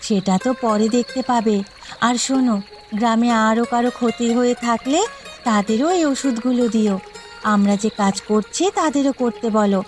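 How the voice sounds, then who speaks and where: A woman's voice: on the high side, unhurried, lightly acted. A woman voicing a confident, bossy young city bride in a cartoon drama, firmly giving instructions, with music behind. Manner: firm, instructing.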